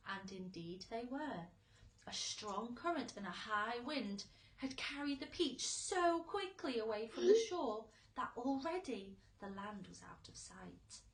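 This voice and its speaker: A woman's voice: fairly high pitch, expressive, measured pace, reverberant, rough recording. A woman, a teacher, reading a children's storybook aloud with dramatic, emphatic expression.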